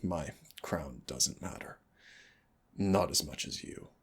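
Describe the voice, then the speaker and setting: A man playing a brooding, tender, winged demon prince in a romantic audio roleplay, speaking in a theatrical, intimate way with a deep, husky, gravelly voice. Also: heavily acted. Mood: tender, earnest. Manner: slow, theatrical, teasing endearments and solemn declarations.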